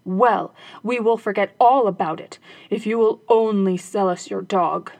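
A woman voicing a band of robbers in a fairy tale, speaking loudly and bluntly. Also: medium pitch, a little slow, creaky, dry recording. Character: band of gruff robbers, grown men.

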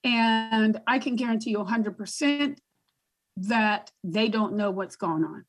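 A woman with a booming voice speaking as a guest advocate in a panel discussion, emphatic and repeating herself as she works out her thoughts. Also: unhurried.

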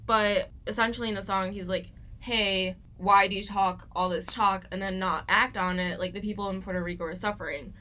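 Young woman co-host, bright and forceful tone, earnest student-style explainer. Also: mid-range voice, steady tone, fairly quick, few pauses. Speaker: podcast co-host. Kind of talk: casual scripted discussion.

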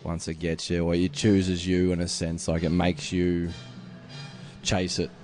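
A man's voice: deep voice, completely flat delivery, measured pace, a little mellow, soft background music. An Australian man with an Australian accent, chatting as an interview guest in a reflective way, thinking aloud. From Adelaide, Australia. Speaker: interview guest, touring musician. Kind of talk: casual interview chat.

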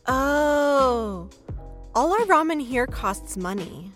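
Over light music, a woman plays a friendly satyr lady behind a shop counter in an audio comedy. Her voice is very high and bright. She speaks with polite, apologetic customer-service manners. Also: expressive, slow, exaggerated voice. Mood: puzzled, apologetic. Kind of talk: comedic audio drama acting.

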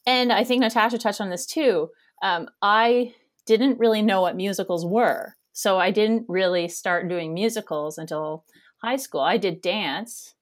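A Canadian woman in her thirties with a loud, nasal voice, chatting as an interview guest and rambling with frequent laughs. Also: mid-range voice, measured pace. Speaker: guest, theater education director. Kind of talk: casual interview conversation.

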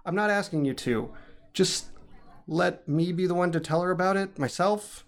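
A man's voice: high voice, normal speed. A young college dropout, socially awkward and earnest. He speaks in a natural audio drama style, with a self-deprecating manner and an unforced everyday delivery. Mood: wry, awkward.

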